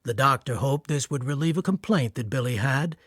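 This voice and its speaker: A man narrating a radio drama in a measured, literary storytelling manner. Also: mid-range voice, expressive, a bit bright, husky, no echo, clean studio sound. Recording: noisy ambience under narration.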